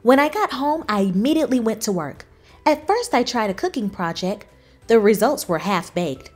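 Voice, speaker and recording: A woman reading a children's book aloud in expressive storybook narration. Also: fairly high pitch, normal speed, faint music behind. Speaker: children's book reader, channel host.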